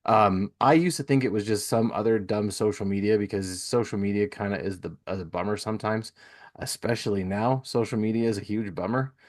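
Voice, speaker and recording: A man's voice: lowish voice, a little fast. A man hosting a podcast, chatting casually and giving plugs in an informal, enthusiastic way. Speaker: podcast host.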